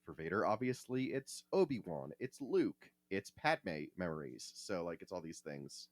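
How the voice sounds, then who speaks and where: A man's voice: low voice, sing-song, unhurried, dry recording. A man hosting a podcast, giving casual, opinionated takes on fiction in an emphatic, salty-tongued way. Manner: emphatic, profane. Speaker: podcast host.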